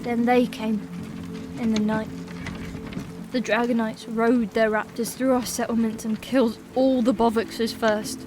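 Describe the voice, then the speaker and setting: Halfling captive, played by a woman: small, young, female, folksy and halting, frightened with pluck, higher register, fantasy audiobook over music. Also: level tone, close to an everyday voice. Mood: haunted. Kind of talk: dramatized fantasy audiobook acting. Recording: music and rain sounds behind.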